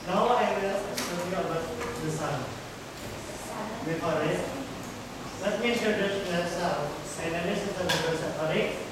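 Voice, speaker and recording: A teenage male student with an Indonesian accent, giving a halting classroom presentation as he searches for words in English. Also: high voice. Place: school classroom, indoors. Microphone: distant camera. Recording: echoey noisy classroom from far off.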